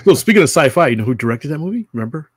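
Animated man with a husky, lively voice. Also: a little high, racing, no room sound. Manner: animated, laughs loudly. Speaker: podcast co-host. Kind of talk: casual live podcast chat.

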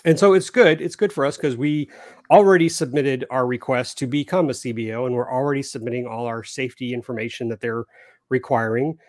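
A man's voice: mid-range voice, a little fast, darkish tone, no room sound. Joking man on a dry mic. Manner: joking, reads notes aloud with asides. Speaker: podcast co-host. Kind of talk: casual hobby podcast chat.

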